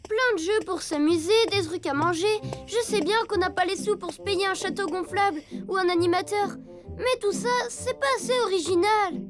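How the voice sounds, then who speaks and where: An actor voicing an excitable, anxious young elephant boy in a children's cartoon, earnest and eager. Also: very high voice, normal speed. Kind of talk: animated children's cartoon dialogue. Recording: music behind the voice.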